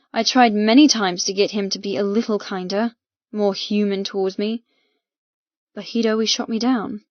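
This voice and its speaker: A woman's voice: medium pitch, no echo. A woman reading first-person fiction as an audiobook narrator, with an emotive, dramatic delivery. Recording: dull, muffled, dry recording.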